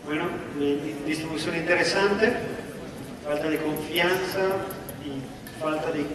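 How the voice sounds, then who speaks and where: A man presenting at a conference session, reading out numbered points in a measured way. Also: on the high side, recorded from a distance, crowd noise. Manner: enumerating, measured. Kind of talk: reading poll results aloud. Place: large conference hall. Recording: echoey hall, voice from afar.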